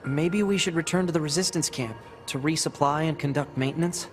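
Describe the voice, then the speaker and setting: A man's voice: fairly high pitch, brisk, lightly acted. A young man voicing an eager, cautious, talkative android soldier in a video game. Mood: businesslike, earnest. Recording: loud game music behind. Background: loud game music.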